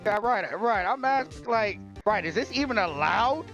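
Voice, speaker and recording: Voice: mid-range voice, lively intonation, moderate pace, a bit bright, faint music behind. A game streamer giving casual live commentary in an informal, slangy, incredulous way. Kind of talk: casual live let's play commentary, reading dialogue aloud.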